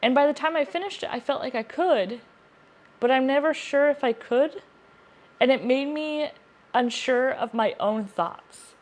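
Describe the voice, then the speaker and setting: A woman's voice: high-pitched, a little slow, a little mellow. Woman chatting casually about books. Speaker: book reviewer, video host. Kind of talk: casual chatty book review to camera.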